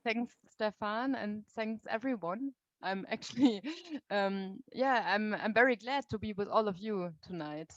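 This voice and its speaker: A woman's voice: medium pitch, a little slow, hardly any pauses, no room sound. A young woman from Germany with a German accent, giving a friendly talk on a call and hunting for words with many fillers. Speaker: guest speaker. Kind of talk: online talk to a meeting. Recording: dull lo-fi audio.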